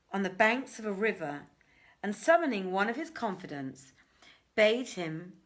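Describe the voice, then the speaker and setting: A woman storyteller reads an old-fashioned literary tale dramatically. Her pitch sweeps widely, and she moves slowly, setting phrases apart with deliberate pauses. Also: fairly bright tone. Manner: theatrical, measured with deliberate pauses. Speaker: storyteller reading aloud. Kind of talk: dramatic literary reading of archaic tales.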